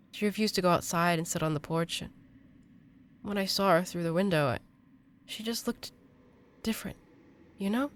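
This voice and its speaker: An actress playing an earnest young female exorcist in an audio drama, halting and emotional. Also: on the low side, a little hiss or hum, lightly acted. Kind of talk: intimate audio drama acting.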